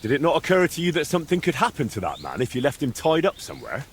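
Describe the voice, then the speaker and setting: An actor playing a calm young man in a medieval game, questioning people in a measured, dryly mocking, insistent way. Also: fairly high pitch, slight background noise. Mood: firm, accusing. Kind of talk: video game dialogue acting.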